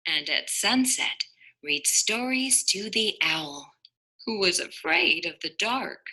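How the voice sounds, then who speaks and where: A woman reading a picture book aloud to young children as a kindergarten teacher, expressive and deliberate, with dramatic emphasis. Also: medium pitch, low-quality recording.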